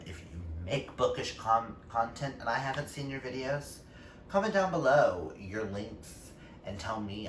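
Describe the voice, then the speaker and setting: A man with a fairly low voice chats informally to his viewers as a book vlogger. He speaks slowly and expressively, with emphatic exclamations. The sound is roomy and echoey, picked up some way from the mic. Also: fairly bright tone. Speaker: book YouTuber. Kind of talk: casual chatty vlog talk to camera. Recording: roomy echo, distant mic, faint noise.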